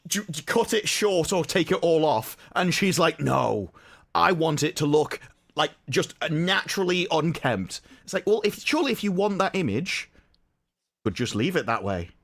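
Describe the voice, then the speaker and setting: A man's voice: on the high side, sing-song, moderate pace, vocal fry, a bit bright, dry recording. A man, by his words, co-hosting a casual livestream chat, ranting sarcastically and acting out mock quotes. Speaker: co-host.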